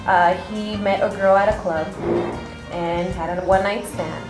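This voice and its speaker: A woman's voice: medium pitch, steady tone, a little slow, music in the background. Chatty young woman in an echoey studio. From California. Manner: open, chatty, many fillers. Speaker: pageant titleholder guest. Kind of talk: casual talk show interview.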